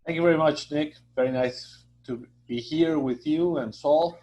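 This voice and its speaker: A man with a Mexican accent, a native Spanish speaker, being interviewed as a hotel association president, speaking haltingly with many fillers and a warm promotional tone. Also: a little high, lo-fi sound. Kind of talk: conversational interview.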